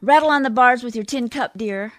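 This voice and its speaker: A woman's voice: on the high side, fairly quick, a bit bright, no echo, close to an everyday voice. A woman playing a sly, scheming wife who coolly needles her husband, in 1940s radio comedy style. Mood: sly, sweet. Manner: coolly teasing, sarcastic.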